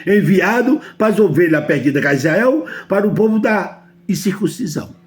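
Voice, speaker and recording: A man's voice: sits high, expressive, normal speed. A man from Brazil with a Brazilian Portuguese accent, preaching a sermon emphatically and often asking his listeners whether they understand.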